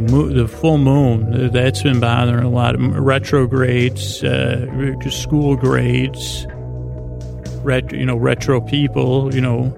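A man hosting a sleep podcast in a creaky, gravelly voice, meandering through a bedtime monologue with halting pauses and false starts. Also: medium pitch.

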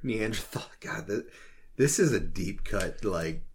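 A man co-hosting a podcast in casual chat, with teasing banter and a halting, think-aloud way of talking. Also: fairly low pitch, expressive. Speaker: podcast co-host.

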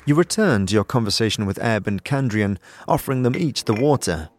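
A man's voice: lowish voice, lively intonation, a little fast, some chatter behind, slight background noise. A man narrating a scripted story in the second person. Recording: music behind the voice. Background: music.

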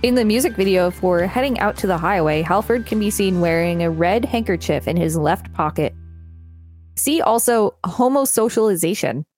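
A woman co-hosts a podcast with a medium-pitched voice. She reads reference text aloud and slips into casual remarks between passages. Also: animated, normal speed, darkish tone, faint music behind. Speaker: podcast co-host reading wiki articles. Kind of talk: reading an article aloud with casual asides.

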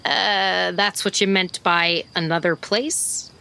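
A woman's voice: fairly low pitch, fairly even intonation, takes it slowly, creaky, bright, forceful tone, slight background noise, full character voice. A woman playing a flirty, wisecracking small-town private eye in an audio drama, with a teasing, bantering manner. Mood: surprised, breezy.

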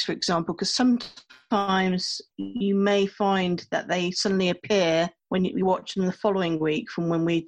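A woman co-hosting a film review podcast, talking in an easygoing, casual way. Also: mid-range voice. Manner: easygoing, quick to laugh. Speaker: podcast co-host.

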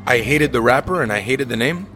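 A man's voice: medium pitch, expressive, fairly quick. American man hosting, music behind him. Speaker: podcast host. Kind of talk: casual podcast chat.

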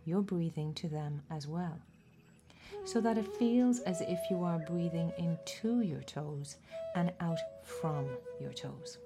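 A woman leading a guided body scan meditation, giving gentle, measured instructions with deliberate pauses. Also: fairly low pitch. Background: music.

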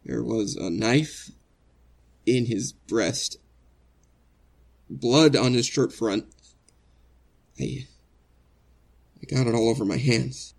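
An actor playing an anguished innocent man facing execution in a 1940s-style radio drama, earnest and halting, stammering with emotion. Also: medium pitch, creaky, darkish tone, slightly rough, heavily acted. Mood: shaken, halting.

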